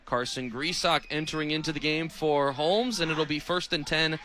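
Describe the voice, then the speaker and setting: A man in his twenties with an American accent calling football play-by-play on the radio in an energetic, descriptive way. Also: a little high, normal speed. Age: 20s.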